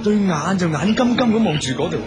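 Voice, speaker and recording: A hearty, joking tribesman at a feast, full of banter. He speaks high for a man, with a Hong Kong Cantonese accent. Loud music runs under the radio drama scene. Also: expressive, brisk.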